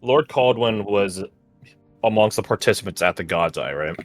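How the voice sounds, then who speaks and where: A man's voice: lowish voice, lively intonation, normal speed, faint music behind. A man with a New York accent chatting casually and informally as a player in a tabletop game. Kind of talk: casual group game chat.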